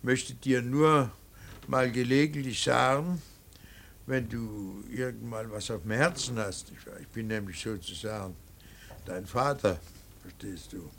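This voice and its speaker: An actor playing a stern, anguished middle-aged father in a radio drama, speaking in a deliberate, halting and emphatic way. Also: medium pitch, gravelly, a bit bright, slight background noise. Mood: strained reproach. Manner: deliberate, halting, emphatic, swinging from muttered to pleading. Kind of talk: German radio drama acting.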